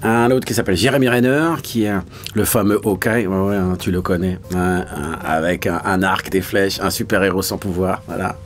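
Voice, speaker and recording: A man's voice: low voice, steady tone, moderate pace. A man, a voice actor talking casually in an interview, colloquial and searching for his words. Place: small recording studio.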